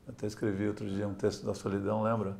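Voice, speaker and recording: A man's voice: on the low side, completely flat delivery, racing, vocal fry, darkish tone. A man from Santos, Brazil, a sailor talking in an interview in a reflective, storytelling way. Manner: reflective, tells stories with small laughs and "né?". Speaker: sailor and adventurer. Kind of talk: relaxed interview talk.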